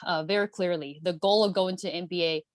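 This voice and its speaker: A woman's voice: on the low side, fairly even intonation, fairly quick, no room sound, clean studio sound. A woman, chatting earnestly as an interview guest, with lots of fillers and repeated words. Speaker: guest, MBA alumna. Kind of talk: casual interview chat.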